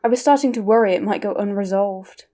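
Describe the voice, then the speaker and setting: A woman playing a gentle, soft-spoken young woman, shaken and tired. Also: medium pitch, fast talker, natural voice. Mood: weary.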